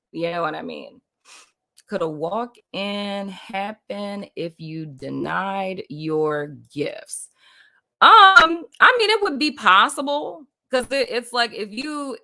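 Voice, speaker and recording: A woman's voice: lowish voice, bright, forceful tone. A woman from Puerto Rico hosting a casual spiritual live stream, rambling loosely with lots of "like" and "you know what I mean" and frequent laughter. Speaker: livestream host.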